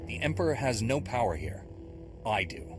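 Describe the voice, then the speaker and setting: An actor playing a calm, resolute Jedi master in a video game, matter-of-fact and protective. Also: male voice, medium pitch, moderate pace, background noise, close to an everyday voice. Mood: firm. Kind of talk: dramatic video game acting.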